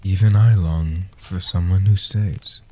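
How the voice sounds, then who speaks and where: A man voicing a gentle old guardian vampire in a soft-spoken comfort roleplay, slow and soothing, with a husky, half-whispered delivery. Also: deep voice, fairly even intonation. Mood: tender, reassuring.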